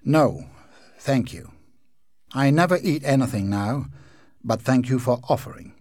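A man's voice: medium pitch, animated, unhurried, darkish tone, no room sound, clean studio sound, close to an everyday voice. A man voicing a proud, self-pitying old male ghost who speaks pompously and theatrically.

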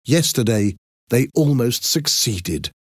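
A man performs a pleased, proud museum archaeologist from a storybook. He presents formally with emphatic, melodic phrasing in a raised pitch, and the sound is dry. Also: animated, takes it slowly, breathy edge, no echo.

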